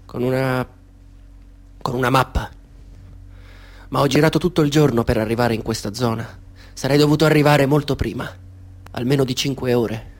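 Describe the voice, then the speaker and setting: A polite stranger speaks softly and with measured calm, in an ordinary mid-range male voice, played by an actor. It is a radio drama, and there is a quiet menace under his courtesy. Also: medium pitch, lightly acted. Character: calm, soft-spoken stranger, quietly sinister.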